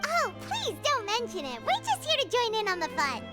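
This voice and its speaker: A woman's voice: huge pitch swings, normal speed, loud music behind the voice, full character voice. A woman voicing a small, childlike fairy companion in a video game, chirpy and eager. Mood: eager, cheerful. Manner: squeaky, chirpy. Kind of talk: scripted game dialogue.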